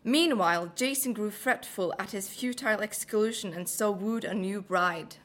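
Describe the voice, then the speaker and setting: A woman's voice: mid-range voice, normal speed, fairly bright tone. A young woman from Norway, a Norwegian native speaker, reading a passage and chatting casually in melodic, hesitant English with a Norwegian accent. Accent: Norwegian, American-leaning English. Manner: melodic, hesitant, many fillers. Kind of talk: read passage and casual talk.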